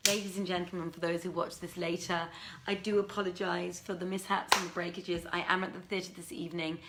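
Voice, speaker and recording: A woman with an expressive, courteous manner, working as a live story reader with theatrical, carefully phrased delivery. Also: on the low side, fairly quick, very noisy. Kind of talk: theatrical storybook reading and informal livestream talk.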